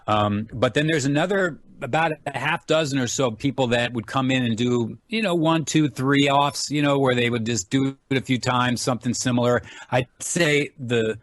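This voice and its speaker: A man's voice: mid-range voice, normal speed, few pauses, no room sound. A man speaking as an interview guest, telling stories casually with informal enthusiasm. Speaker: guest, film writer and enthusiast.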